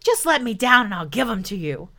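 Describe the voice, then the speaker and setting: A woman voicing a polite, anxious male storybook adventurer who speaks in a hurried, apologetic manner. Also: a little high, sing-song, a bit bright. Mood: pleading.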